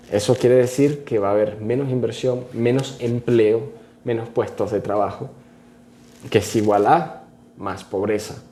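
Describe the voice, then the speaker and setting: A man, a vlogger giving emphatic, opinionated political commentary to camera, sometimes rushing and sometimes slowing for stress. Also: on the low side, mellow, slight background noise. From Venezuela. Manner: emphatic, opinionated, varying pace.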